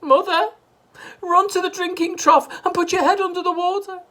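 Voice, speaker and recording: A frantic, wailing wife from a children's story, played by a woman. Her voice jumps high and loud, and it sounds shrill and alarmed all the way through. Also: fairly even intonation, normal speed. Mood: panicked. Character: panicky middle-aged wife, wailing and shrieking.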